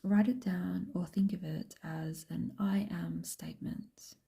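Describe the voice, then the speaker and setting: A woman guiding a meditation in a soft, breathy whisper, speaking gently and unhurriedly with long pauses. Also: on the low side, steady tone. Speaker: meditation guide and host. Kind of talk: slow guided meditation, soft whispered speech.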